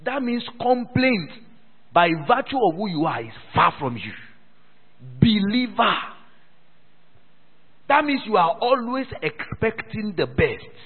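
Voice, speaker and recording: A man's voice: sits high, very animated, takes it slowly, pauses often, slightly rough. A man preaching a sermon to a church, repeating phrases and calling for responses from the congregation. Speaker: pastor. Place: church hall. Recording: thin phone-like sound with long hall reverb.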